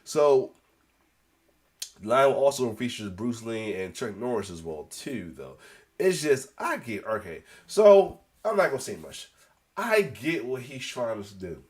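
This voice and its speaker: A man's voice: medium pitch, sing-song, unhurried, darkish tone. A congested man with a cold, giving a casual, rambling news reaction as the host, with restarts and coughs. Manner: rambling, repeats "as well too", many restarts; occasional coughs.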